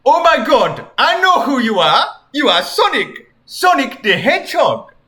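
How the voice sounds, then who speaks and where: A man's voice: extremely high pitch, animated, normal speed, bright, forceful tone, voice pushed up, heavily acted. A man voicing a pompous ancient male pharaoh king, grand and proclaiming, in a raised voice. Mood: astonished.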